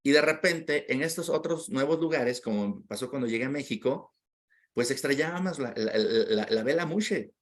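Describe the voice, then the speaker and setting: A man's voice: mid-range voice, expressive, moderate pace. A man as an interview guest, telling a personal story in a heartfelt, reflective way and often repeating words while he searches for them.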